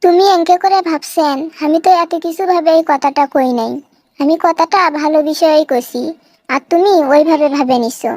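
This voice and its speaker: A woman's voice: sits high, normal speed, dark tone, dry recording, natural voice. A woman voicing a haughty, bullying young daughter of a village chairman in a comic cartoon, with a northern Bangladeshi accent and a domineering manner. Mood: defensive. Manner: scolding, domineering, threatening.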